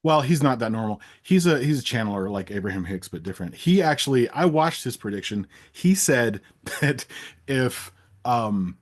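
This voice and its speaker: Guy co-hosting a stream, medium pitch, wry and kinda halting, just riffing casually. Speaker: live stream co-host. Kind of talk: casual unscripted banter.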